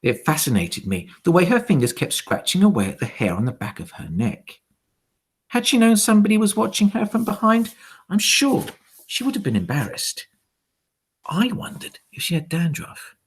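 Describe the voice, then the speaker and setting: A man narrates a children's storybook with great theatrical flair. His pitch leaps and dives constantly, and his husky voice drops into hushed tones to build suspense. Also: very animated, normal speed. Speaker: teacher reading a story aloud.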